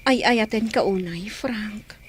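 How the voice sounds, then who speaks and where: A woman's voice: medium pitch, slow, slight background noise, close to an everyday voice. A woman with an Ilocano Filipino accent playing a pleading, lovesick sweetheart in a radio drama.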